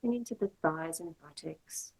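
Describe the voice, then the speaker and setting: A woman with a lowish, noticeably husky voice leads a guided meditation as a yoga teacher. She speaks in short, measured phrases and leaves long pauses between them. The delivery is gentle and soothing. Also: low-quality recording.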